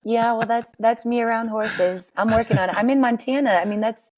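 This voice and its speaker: A woman in her forties with a raspy voice, chatting and telling stories as a podcast guest, laughing often. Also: a little high, a little fast, darkish tone. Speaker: guest, worship singer. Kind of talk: casual interview chat.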